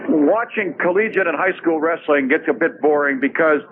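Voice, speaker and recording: A man's voice: fairly high pitch, normal speed. A man hosting a talk radio show, chatting and taking calls. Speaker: radio host. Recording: phone-like lo-fi 1980s radio recording, crowd behind.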